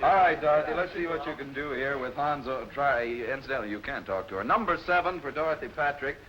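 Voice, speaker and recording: A man's voice: mid-range voice, fast talker, fairly bright tone. A man hosting a game show with casual, teasing banter and joking asides. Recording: noisy muffled 1950s television soundtrack.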